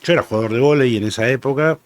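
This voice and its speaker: Argentine man, 60s, Rioplatense accent, chatty rambling interview guest, mid pitch. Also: racing. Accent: Rioplatense Spanish. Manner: rambling, reminiscing, many "eh" fillers. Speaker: rugby journalist guest. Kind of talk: relaxed anecdotal interview chat.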